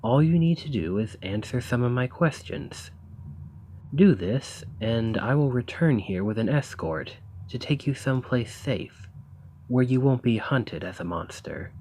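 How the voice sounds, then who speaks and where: A man playing an eager, courteous professor of ancient civilizations in a scripted ASMR roleplay, speaking in a formal, bookish, slightly halting way with a husky voice. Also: mid-range voice, expressive, dark tone, a little hiss or hum, close to an everyday voice. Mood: earnest, persuasive. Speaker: audio roleplay performer.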